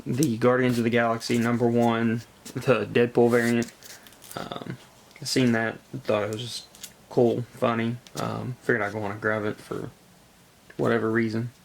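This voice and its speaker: A man with a congested, gravelly voice from a cold, chatting casually as he shows off a collection haul in a halting way. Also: mid-range voice, flat delivery, a little hiss or hum, low-quality recording. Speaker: comic book collector presenting a haul. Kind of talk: casual show-and-tell vlog chat.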